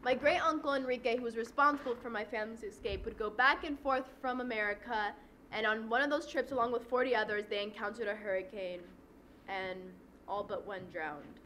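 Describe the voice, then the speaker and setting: A woman's voice: fairly high pitch, brisk, pushed and bright, a little hiss or hum. A young woman performing a monologue in a declamatory way. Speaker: student performer. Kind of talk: delivering a monologue.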